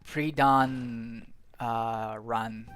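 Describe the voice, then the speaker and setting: A man's voice: medium pitch, fairly even intonation, drawn-out words, a bit bright, clear, resonant tone, soft background music. A young Brazilian man with a Brazilian Portuguese accent, a native Portuguese speaker, chatting casually while streaming a game, rambling and thinking aloud with frequent restarts. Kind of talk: casual gameplay commentary.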